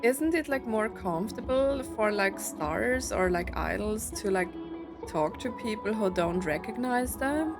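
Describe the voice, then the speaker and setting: A woman's voice: mid-range voice, animated, a little slow, over music. A woman giving casual, hesitant let's-play commentary, peppering her sentences with filler words. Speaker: streamer playing a game.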